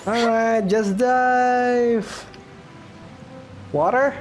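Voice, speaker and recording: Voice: mid-range voice, fairly even intonation, extremely slow, faint music behind. A gaming video host giving casual, chatty commentary while playing. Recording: faint background noise.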